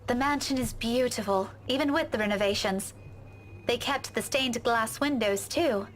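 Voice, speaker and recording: A man's voice: very high voice, moderate pace, a bit bright, a little hiss or hum, pitched above the performer's own voice. A man voicing a polite, earnest young woman in a visual novel, deferential and a little hesitant. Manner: deferential, hesitant, well-mannered.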